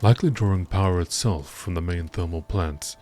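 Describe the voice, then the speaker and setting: A man reading scripted entries as a narrator in a measured, deliberate nature documentary style. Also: deep voice, mellow, slight background noise.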